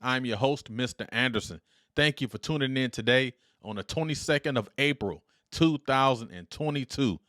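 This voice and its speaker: Loud, confident middle-aged man hosting. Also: medium pitch, no echo, studio quality. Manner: loud, confident, upbeat and deliberate. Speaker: podcast host. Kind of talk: solo podcast monologue. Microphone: dynamic studio mic.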